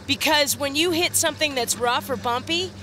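A middle-aged woman with a high voice coaches skiers out on a snowy slope, her instructions direct and full of energy. Steady outdoor noise sits clearly under her voice. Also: moderate pace. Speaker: ski instructor.

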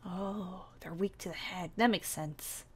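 A woman's voice: lowish voice, a little slow, husky. A woman playing a nervous, stubborn zombie-apocalypse survivor in an improvised game roleplay, speaking hesitantly. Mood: hesitant, curious.

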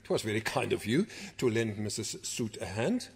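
An actor playing a formal, wry science teacher in an audio drama, precise and wordy in manner. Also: male voice, lowish voice, big pitch swings, moderate pace, vocal fry, a crowd in the background. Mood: knowing.

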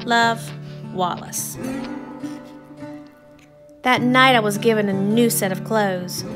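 A woman reading a children's storybook aloud with expressive, deliberate, theatrical phrasing. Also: mid-range voice. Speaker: storybook reader.

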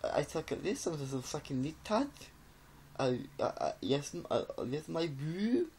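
A man's voice: fairly high pitch, big pitch swings, a little slow, darkish tone, pitched above the performer's own voice. A dim-witted South African man played by a man in a crude comic caricature. He stammers and repeats himself in an imitation accent, over faint noise on a homemade recording. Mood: mocking, silly. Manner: stuttering, repetitive, emphatic. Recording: noisy webcam-style home recording.

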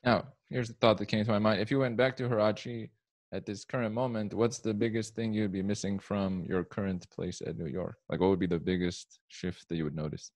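A man's voice: on the low side, little pitch movement, a little fast, a little mellow. A young man hosts an interview from a plain indoor room, speaking into a handheld microphone. He keeps things casual, asking questions and offering short, affirming replies. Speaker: podcast host.

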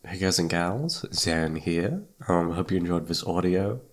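A man's voice: sits low, lively intonation, measured pace. A young man in casual unscripted chat to listeners, rambling and self-deprecating, with loose swearing. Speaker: audio roleplay voice actor.

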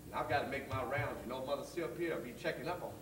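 A man's voice: medium pitch, roomy sound, lightly acted. Stage play, old male Southern janitor, acted by a man: folksy mentor, distant and noisy recording. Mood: warm, conspiratorial. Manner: folksy, drawn-out storytelling. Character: kindly, wary old blues-loving orphanage janitor, fatherly mentor. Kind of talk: stage acting. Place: theatre stage, far camera.

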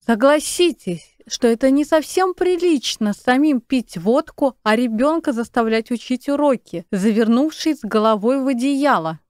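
An actress playing earnest, reproachful women, such as an exasperated wife, in a comic audio play. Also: female voice, high-pitched, moderate pace, few pauses, darkish tone, dry recording, clean studio sound, lightly acted.

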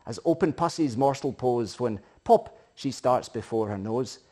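A middle-aged Scottish man recites narrative verse in Scots like a practised storyteller. His voice sits at a medium pitch. The delivery is theatrical and emphatic, moving between hushed phrases and bigger, rousing ones. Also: animated, measured pace. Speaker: poetry reciter. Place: living room, indoors.

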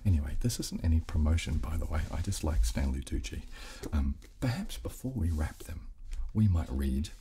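A man in his thirties hosting a soft ASMR chat close on a desk microphone, hushed, halting and polite. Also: very deep voice, expressive, slight background noise. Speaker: host of an ASMR channel. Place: small furnished studio room, indoors. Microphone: close desk condenser microphone.

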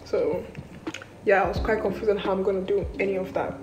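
A woman's voice: medium pitch, fairly even intonation, brisk, a little mellow, slight background noise. Young woman vlogging in an echoey room. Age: young adult. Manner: chatty, rambling, informal. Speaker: vlogger, university student. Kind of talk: casual vlog chat. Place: indoor student flat kitchen and rooms. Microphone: camera at arm's length or propped nearby. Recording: echoey room, background music.

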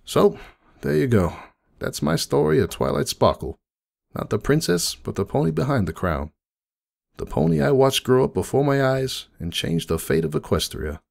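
A man voicing a grieving, kindly cartoon pony cafe owner in an emotional, halting dramatic monologue. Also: medium pitch, big pitch swings, vocal fry, darkish tone. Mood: weary, bitter. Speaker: dramatic reader of fan fiction. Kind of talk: dramatic first-person monologue reading.

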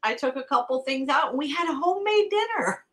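A woman's voice: sits high, animated, fairly quick. A middle-aged woman whose first language is Italian, chatting animatedly as a guest on a video call. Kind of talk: casual video call chat.

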